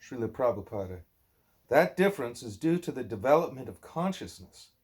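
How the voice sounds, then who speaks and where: A man reading a spiritual dialogue aloud at home, speaking deliberately and sometimes correcting himself. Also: medium pitch, sing-song, a little mellow. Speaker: book reader, parent.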